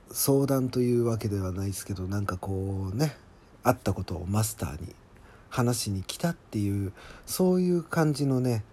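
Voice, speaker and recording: A man talks on his own as a podcast host, in a relaxed, mellow voice at an average male pitch. He gives casual behind-the-scenes commentary as a scriptwriter, chatty and admiring as he goes.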